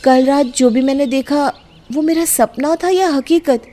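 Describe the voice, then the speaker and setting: A woman voicing a curious, easily alarmed young girl in an animated story. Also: sits high, a little slow. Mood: bewildered.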